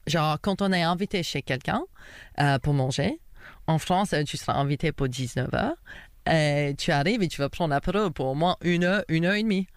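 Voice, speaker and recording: Chatty Australian woman, crisp and clear. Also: sits low, normal speed, dry recording, studio quality. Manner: lively, laughs often, many fillers. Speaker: guest. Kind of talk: casual interview chat.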